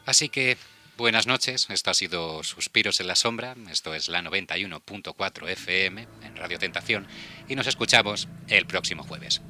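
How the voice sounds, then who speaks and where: A man's voice: deep voice, moderate pace, bright, forceful tone, a little hiss or hum. A man with an accent from Spain hosting a casual radio discussion in a studio. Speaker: radio show host. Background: music.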